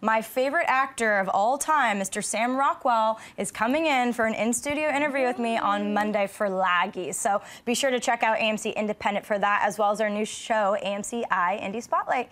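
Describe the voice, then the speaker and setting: A woman's voice: on the high side, fairly quick, few pauses, a bit bright. An enthusiastic, chatty woman hosting a panel discussion about films in a studio. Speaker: panel show host.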